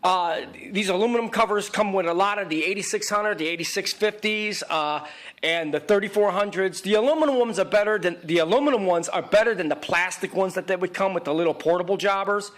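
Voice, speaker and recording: A man's voice: high voice, fairly quick, fairly bright tone. A man narrating an informal how-to as a repair technician, plain-spoken and emphatic, often repeating his points. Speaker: video equipment repair technician giving a tutorial. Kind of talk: informal instructional narration over pictures.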